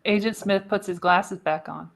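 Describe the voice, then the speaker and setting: A woman's voice: medium pitch, steady tone, moderate pace, vocal fry. A woman narrating a radio play script, reading stage directions with dramatic delivery. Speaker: narrator, show host.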